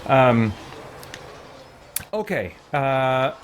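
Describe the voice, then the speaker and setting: A man's voice: mid-range voice, big pitch swings, extremely slow, slight background noise. A man hosting a game livestream in casual chat, rambling and thinking aloud as he goes. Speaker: live streamer hosting a game playthrough.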